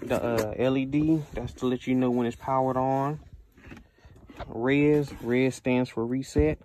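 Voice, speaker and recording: A man's voice: medium pitch, steady tone, a little slow, mellow, slight background noise. An American man giving a casual, unscripted product review in a conversational way, repeating himself for emphasis.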